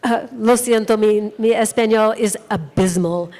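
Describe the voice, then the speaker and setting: A woman's voice: mid-range voice, takes it slowly, mellow. A middle-aged American woman, a guest speaker, giving short informal thanks. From United States. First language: English. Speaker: guest speaker, numismatic researcher. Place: large conference hall with audience. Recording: echoey hall, audience noise.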